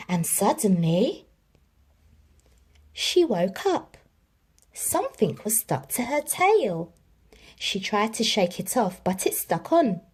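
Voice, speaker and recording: Slow, expressive woman reading a storybook. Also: mid-range voice, husky. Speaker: storybook reader. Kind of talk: children's story read-aloud.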